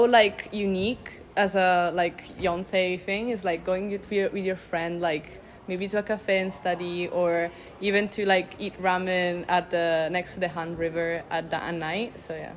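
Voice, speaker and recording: A woman's voice: on the low side, little pitch movement, moderate pace, slight background noise. A young woman student giving friendly tips in a casual testimonial. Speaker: summer school student.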